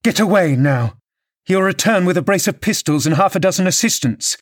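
A man's voice: high voice, animated, brisk, pitched above the performer's own voice. A man voicing an impassioned, scornful young married woman with an imperious, theatrical manner in an audiobook novel. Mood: urgent, angry. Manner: theatrical, emphatic, exclamatory.

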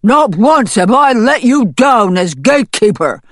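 A proud, touchy male guard dog, as a woman puts him on barks out his lines loud and slow. Close, dry sound. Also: on the high side, sing-song, no echo, voice pushed up, heavily acted. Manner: barking, proud.

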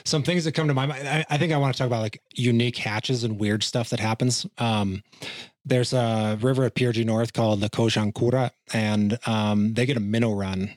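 Fishing guide type from Montana, mid-pitch man, halting chatty delivery, dry close mic. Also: dry recording. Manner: halting, repeats words, many fillers. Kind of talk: podcast conversation.

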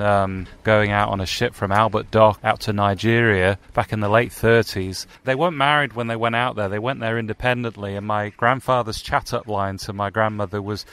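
A man's voice: on the low side, a bit bright. Chatty southern English man, late 40s. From England. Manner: chatty, laughs through his anecdotes. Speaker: guest journalist. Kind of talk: relaxed radio interview storytelling.

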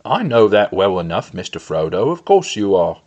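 A man's voice: sits low, sing-song, normal speed, darkish tone, lo-fi sound. Man acting a young male servant, eager, anxious, stubbornly loyal, folksy phrasing, fantasy storybook. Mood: determined. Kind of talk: audiobook-style fantasy storytelling.

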